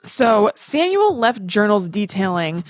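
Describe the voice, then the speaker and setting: A woman's voice: mid-range voice, sing-song, slow pace. A woman co-hosting a podcast, telling stories in a casual, chatty way and correcting herself as she goes. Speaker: podcast co-host. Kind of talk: casual conversational storytelling.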